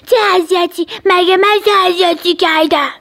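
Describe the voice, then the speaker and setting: A woman's voice: extremely high pitch, fairly even intonation, a bit bright, pitched above the performer's own voice. A woman voicing a bossy, greedy young rhinoceros bully for a children's storybook, blustering and demanding. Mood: indignant.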